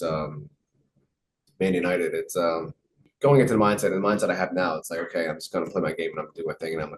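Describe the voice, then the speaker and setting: A man's voice: deep voice, a little hiss or hum. A young American man, a professional soccer player, answering interview questions casually, with many fillers and repeated words. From United States.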